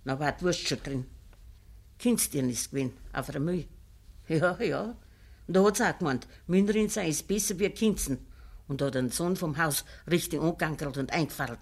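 Old country grandmother, Bavarian dialect, creaky, blunt, stern moral storyteller for vintage radio drama. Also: deep voice. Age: elderly.

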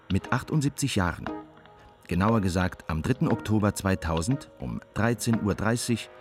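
An actor playing a calm, matter-of-fact storyteller, giving measured scripted narration in a radio drama. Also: male voice, low-pitched. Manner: sober, measured, factual. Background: music.

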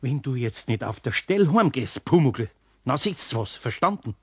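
A man playing an exasperated older master craftsman with a husky voice and a Bavarian accent in a radio play. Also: medium pitch, big pitch swings, normal speed, natural voice. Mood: scolding. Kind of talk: German radio play acting.

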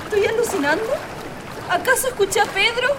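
A woman's voice: very high voice, a little fast, noisy background. A woman playing a frightened girl lost at sea in a radio drama. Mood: dazed, fading.

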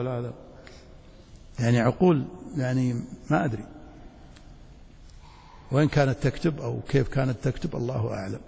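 Husky Saudi male teacher in echoing hall. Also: a little high, darkish tone. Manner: didactic, checks understanding with short questions. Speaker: Islamic studies teacher, sheikh. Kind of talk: teaching lecture with questions to students. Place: large reverberant hall, mosque. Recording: echoey hall with long reverberation.

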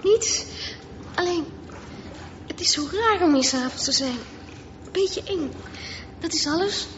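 An actress playing a timid girl in a radio drama, hesitant and stammering, uneasy in the dark. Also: extremely high pitch, expressive, a little slow. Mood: nervous. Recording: muffled, noisy radio play recording.

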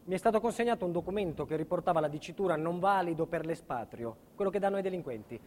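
A man's voice: high voice, lively intonation, quick pace, a little mellow, slight background noise. A male actor playing a middle-aged family man under state protection, insistent and emphatic, in a dramatic stage monologue. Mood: firm, insistent. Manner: emphatic, insistent, repeats himself.

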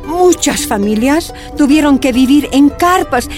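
An actress playing an older, warm and resolute miners' leader in a radio drama, speaking emphatically. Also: female voice, high voice, lively intonation, measured pace, faint music behind. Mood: sorrowful, grave. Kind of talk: radio drama acting, first-person testimony.

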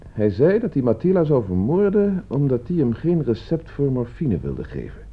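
An actor in a Dutch radio play playing an urbane but stubborn host among friends, with a husky voice and an insistent manner. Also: male voice, mid-range voice, big pitch swings, moderate pace. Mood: probing. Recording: dull old radio play recording.

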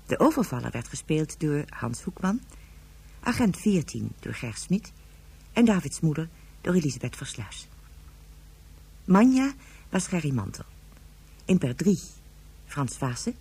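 A woman with a husky voice, reading scripted closing credits as a radio drama announcer. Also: low-pitched, dark tone.

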